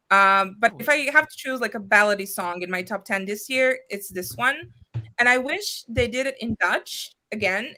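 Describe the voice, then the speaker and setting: A woman panelist speaks with a fairly high, bright voice over a video call. She talks thoughtfully and at an even, measured pace, pausing on fillers.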